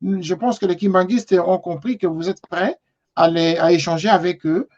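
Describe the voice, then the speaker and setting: A man hosting a TV talk show, speaking in a polite, measured way with frequent hesitations. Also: sits high, dull audio. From Congo. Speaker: TV show host.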